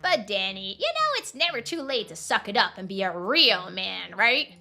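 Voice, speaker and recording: A woman's voice: mid-range voice, very animated, normal speed, gravelly, sharp tone, heavily acted. A woman voicing a bossy male alpaca gang leader in a video game, with mouthy, streetwise heckling. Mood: scornful. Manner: mouthy, streetwise wiseguy talk.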